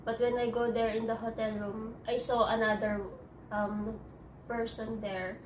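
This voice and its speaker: A Filipino woman with a Tagalog-native accent, speaking English in a halting, hesitant way with many restarts in a personal interview. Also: on the high side, flat delivery, slight background noise. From Philippines. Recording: echoey room, distant mic.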